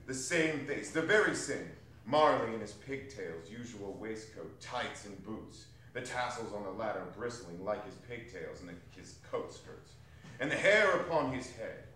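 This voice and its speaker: Male stage narrator, mid-pitched and lively, emphatic phrasing, far from the mic in a reverberant space. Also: moderate pace. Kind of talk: dramatic scripted storytelling on stage. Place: theatre hall at a live reading. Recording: very echoey hall, distant mic, some noise.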